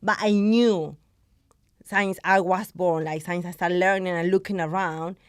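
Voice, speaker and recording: A woman's voice: fairly low pitch, steady tone, measured pace, clear, resonant tone, no room sound. Passionate woman guest, dry sound. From Argentina. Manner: passionate, switches into Spanish. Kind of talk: podcast conversation.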